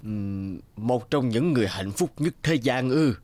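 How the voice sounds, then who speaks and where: A man voicing a kindly, wistful old puppeteer in a children's cartoon, measured and courteous. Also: mid-range voice, animated, darkish tone, no room sound.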